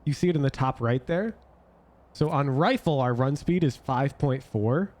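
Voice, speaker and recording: A man who is losing his voice, excitedly commentating a game review with laughter and swearing. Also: mid-range voice, normal speed. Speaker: streamer reviewing gameplay. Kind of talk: casual gameplay commentary.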